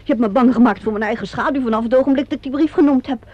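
A woman's voice: a little high, rapid-fire, close to an everyday voice. An actress playing a self-possessed, teasing woman of the theatre in a radio drama, bantering and flippant. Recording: dull lo-fi radio play recording.

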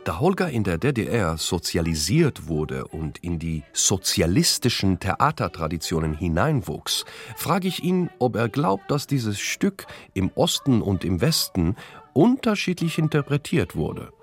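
A man's voice: lowish voice, big pitch swings, unhurried. A man reading scripted first-person radio narration as the interviewer of the piece. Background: music under the voice.

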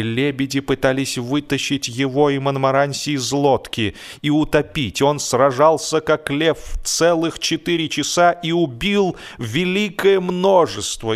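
Theatrical male narrator of humorous fiction. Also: mid-range voice. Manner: theatrical, stretches key words for emphasis. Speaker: audiobook narrator. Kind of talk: dramatised humorous literary narration.

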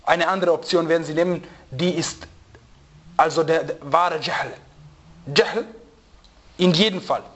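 A man's voice: a little high, measured pace, pauses often, highs cut off. A man teaching a religious lesson to students, emphatic and repetitive, with frequent rhetorical questions, sometimes searching for words. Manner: emphatic, repeats key phrases, asks rhetorical questions.

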